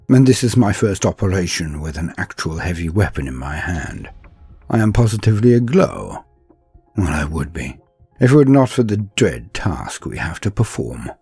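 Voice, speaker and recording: A man's voice: on the low side, a little mellow, husky, no echo, exaggerated voice. A man voicing an eager young space marine soldier on his first heavy-weapons mission, telling his story earnestly in first person. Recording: faint music underneath.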